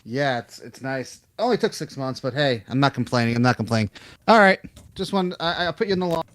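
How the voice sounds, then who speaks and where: A man from New York chatting casually as a ham radio operator. He talks loudly and easily, with a mid-range pitch, and laughs often between his thoughts. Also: animated, brisk, background noise. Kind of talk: casual on-air radio chat.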